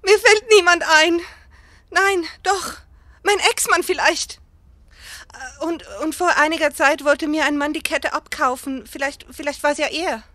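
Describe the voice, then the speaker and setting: A woman's voice: sits high, fairly even intonation, measured pace, sharp tone, no echo. A young woman acting a bride-to-be aunt in an amateur radio play. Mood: worried. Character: worried bride, kindly aunt whose necklace vanished.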